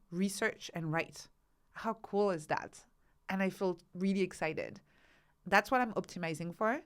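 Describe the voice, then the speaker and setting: A woman speaking as an interview guest, explaining her ideas thoughtfully and emphatically, often building points through lists of examples. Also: lowish voice. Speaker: interview guest, author and researcher.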